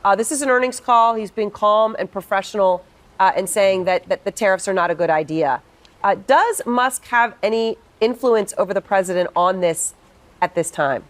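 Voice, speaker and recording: Woman, medium pitch, news anchor, questioning style. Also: normal speed.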